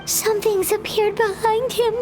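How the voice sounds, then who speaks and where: A woman voicing a tiny childlike fairy companion, excitable and exclamatory, in a cartoonish video game style. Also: very high-pitched, moderate pace, music in the background, poor audio. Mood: alarmed. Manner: exclamatory, speaks of self in third person.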